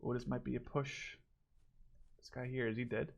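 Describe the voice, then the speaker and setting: A man's voice: medium pitch, flat delivery, moderate pace, creaky. A man giving casual live commentary on a video game match, thinking aloud as he watches.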